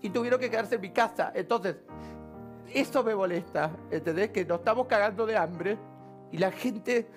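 An Argentine man talks in a high, bright voice, emphatic and angry as he sits on a studio panel. He swears freely and presses his point. Soft music plays faintly under the talk. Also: normal speed. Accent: Argentine Spanish. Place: TV studio set.

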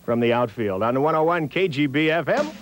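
A man's voice: a little high, lively intonation, brisk. A middle-aged American man working as a radio DJ, talking in a casual, wry, easygoing patter. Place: small radio studio. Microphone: large studio mic on a boom arm.

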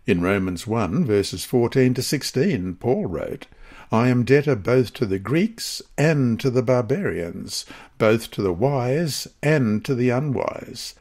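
Husky man reading a devotional lesson. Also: mid-range voice, expressive, takes it slowly, darkish tone. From Australia. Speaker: lesson reader. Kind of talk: scripted devotional narration, reading aloud.

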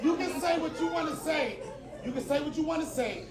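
Man arguing loudly in an echoey club. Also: very high-pitched, brisk. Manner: insistent, repeating himself, shouting back. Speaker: stand-up comedian. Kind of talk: heated on-stage argument. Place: comedy club stage. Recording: echoey comedy club clip with loud crowd and music.

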